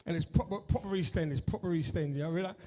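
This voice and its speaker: A middle-aged man with a broad East London accent works a live comedy crowd in a high, bright-edged voice. He rambles in a chatty way, swears freely and tags his lines with "innit" and "right". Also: fast talker, slight background noise. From East London, England. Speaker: stand-up comedian. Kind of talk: stand-up comedy set with crowd work. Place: comedy club with a live audience.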